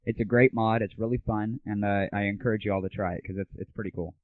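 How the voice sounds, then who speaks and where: A man's voice: fairly low pitch, steady tone, fast talker, slight background noise. An American man hosting a show with a casual, comic monologue style. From United States. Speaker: show host. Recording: dry, phone-like lo-fi audio.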